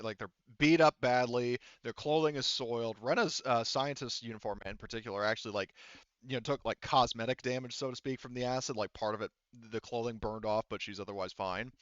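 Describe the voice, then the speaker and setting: A man's voice: medium pitch, a bit bright, clean tone, dry recording, lo-fi sound. A man narrating a tabletop roleplaying game as game master, casual and often profane.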